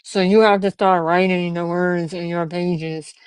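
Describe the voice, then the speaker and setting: A man's voice: high-pitched, fairly even intonation, unhurried, smooth, no room sound. A man in his twenties hosting a podcast, earnest and encouraging, telling stories from his own start as a barber. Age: 20s. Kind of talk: casual interview chat.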